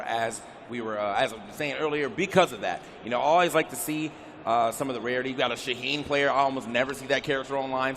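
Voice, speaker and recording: A man's voice: medium pitch, a bit bright, slight background noise. A man hosting a live fighting-game tournament, chatty and upbeat, with fillers. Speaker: tournament host.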